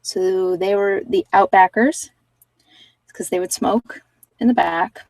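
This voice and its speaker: A woman's voice: mid-range voice, fairly even intonation, takes it slowly, a little mellow, dry recording, distant mic, rough recording. A woman chatting casually and candidly with live viewers as a livestream host, in an informal, self-deprecating, rambling way. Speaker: livestream host giving card readings.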